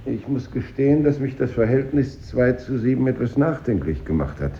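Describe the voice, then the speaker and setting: An actor playing a pompous, ironic grammar-school teacher who lectures his class in measured rhetoric, in 1950s radio drama style. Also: mid-range voice, sing-song, gravelly, mellow, slight background noise. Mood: ironic, reproachful. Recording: muffled old radio recording, echoey room.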